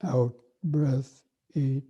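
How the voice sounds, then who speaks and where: A man guiding a breathing meditation, speaking softly and evenly with long pauses between phrases. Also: medium pitch, slightly rough, highs cut off. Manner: slow, soft and even, with long pauses. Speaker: meditation teacher.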